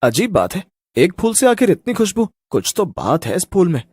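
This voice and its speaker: An actor playing a naive, lovestruck young man with a husky voice and an earnest, wondering way of talking in a horror story. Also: high voice, wildly swooping pitch, moderate pace, a little mellow, dry recording, heavily acted. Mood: puzzled.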